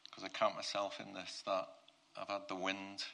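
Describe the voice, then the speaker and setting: A male preacher with a croaky, gravelly voice that has a bright, sharp edge. His pitch sits low and stays flat, with little rise or fall. He preaches earnestly and often circles back to restart his phrases. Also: moderate pace. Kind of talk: prayer and sermon to a congregation.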